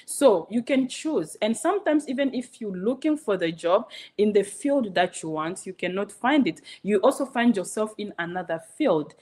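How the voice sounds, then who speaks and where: A woman's voice: mid-range voice, a little mellow. A woman from Congo, a guest speaker giving an earnest, persuasive motivational talk with rhetorical questions.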